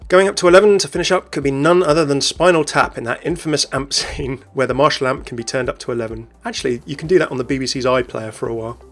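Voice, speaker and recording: A man's voice: mid-range voice, quick pace, hardly any pauses. A man narrating a gaming commentary voice-over. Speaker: video creator, narrator. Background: faint music.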